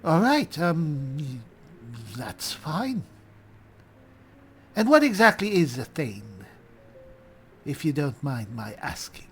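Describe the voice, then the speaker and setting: A man playing a haughty, wry high elf spellsword in a fantasy game roleplay, speaking deliberately with musing pauses. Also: sing-song, breathy edge, faint music behind, full character voice. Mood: puzzled. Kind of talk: roleplay acting over a fantasy video game.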